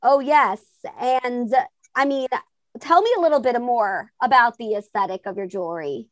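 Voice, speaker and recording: A woman's voice: a little high, moderate pace, no echo. A woman hosting a podcast interview, enthusiastic and effusive, peppering her questions with "like" and "I mean". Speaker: podcast host.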